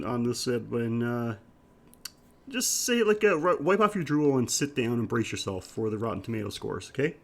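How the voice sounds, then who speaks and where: Joking male podcast host with a cold. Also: on the high side. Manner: joking, self-deprecating. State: head cold. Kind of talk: casual chatty podcast hosting.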